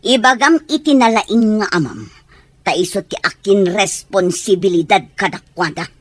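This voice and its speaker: A husky-voiced, resentful mother from a radio drama, acted by a woman. Her delivery is curt and defensive, swinging widely in pitch as she scolds. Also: lively intonation, normal speed, no room sound, natural voice. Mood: irritated, scolding. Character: irritable, resentful mother who refuses her abandoned family.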